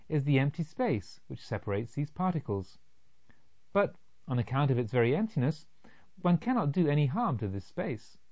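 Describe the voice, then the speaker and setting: A slightly muffled, lo-fi recording of a male audiobook narrator. His medium-pitched voice moves with lively, expressive intonation. He reads formal literary prose in a measured, explanatory manner.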